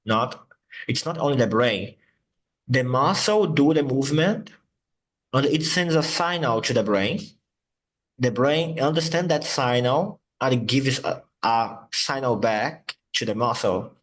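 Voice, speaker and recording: A male English teacher, Portuguese his first language, talks in an unhurried, drawn-out way. He patiently repeats words over and over to model their sounds. His voice sits at an ordinary middle pitch. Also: fairly bright tone. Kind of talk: online English lesson.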